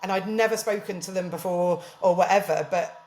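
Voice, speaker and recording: A woman's voice: fairly low pitch, a little fast, a little mellow, reverberant. A woman with a southern English accent, chatting as a podcast guest, laughing often and animatedly retelling conversations. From southern England. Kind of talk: casual chat.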